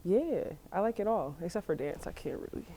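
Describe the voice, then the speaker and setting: A woman's voice: mid-range voice, expressive, fairly quick, background noise. A woman in her thirties talking passionately and emphatically about herself in an interview. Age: 30s. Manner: passionate, emphatic, laughs often. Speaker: interviewee, single woman dating. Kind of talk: casual interview talk.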